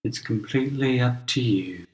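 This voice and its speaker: A man leading a guided meditation as a teacher, speaking gently and in a measured, instructional way with long pauses. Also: medium pitch, reverberant.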